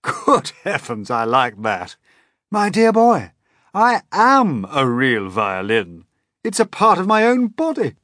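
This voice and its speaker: A man voicing an old, gentle male grasshopper who lectures kindly and is proud of his music. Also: fairly high pitch, wildly swooping pitch, slow, dry recording, studio quality, pitched above the performer's own voice, heavily acted. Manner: kindly, lecturing, fond of his own skill.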